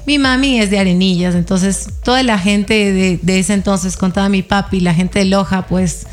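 A woman's voice: medium pitch, normal speed, faint music behind. A woman with a southern coastal Ecuadorian accent, a guest chatting casually and expressively in an interview. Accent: southern coastal Ecuadorian Spanish. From Ecuador, El Oro province. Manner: chatty, expressive, frequent laughs and fillers.

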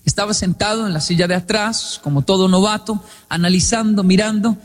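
High-voiced man telling stories. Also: brisk, in a large hall. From Colombia. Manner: humorous, acts out quoted dialogue. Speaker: public speaker. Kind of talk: storytelling stage talk.

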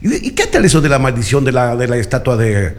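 A man's voice: medium pitch, very animated, fast talker. A man, hosting a radio show in teasing, joking banter and often repeating his words.

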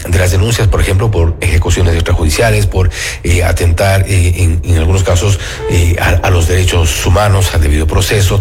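A man with an Ecuadorian accent interviewing a guest, halting with many fillers and restarts. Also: sits low, darkish tone. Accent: Ecuadorian Spanish. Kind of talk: interview questioning.

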